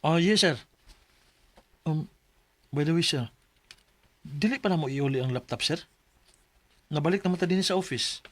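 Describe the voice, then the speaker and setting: A man's voice: on the high side, moderate pace, pauses often, close to an everyday voice. An actor playing a polite, weary young male office employee in a Filipino radio drama, speaking with a Cebuano accent. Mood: deferential. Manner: polite, deferential. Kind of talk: Filipino Bisaya radio drama, letter narration and acted dialogue.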